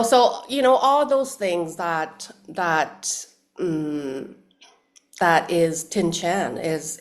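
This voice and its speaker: Low-voiced woman. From Hong Kong. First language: Cantonese. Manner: soft-spoken, thoughtful, many restarts and fillers. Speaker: actress guest. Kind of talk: casual interview chat.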